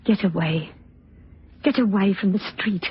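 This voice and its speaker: A husky voice on a muffled old radio recording: a frail, gentle aunt of middle age. She speaks softly, with weary, maternal resignation. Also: medium pitch, a little slow. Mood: gentle concern. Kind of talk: 1940s radio drama acting.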